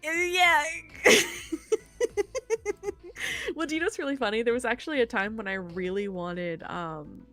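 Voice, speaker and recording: An excitable woman chatting casually as she co-hosts a game stream. Her voice is fairly high and she rambles in a giggly way, with music quietly underneath. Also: normal speed, long pauses. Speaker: streamer co-host. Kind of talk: casual gaming stream chat.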